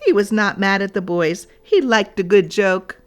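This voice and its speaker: A woman reading a storybook aloud for children, deliberately and addressed straight to young listeners. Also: medium pitch, soft background music.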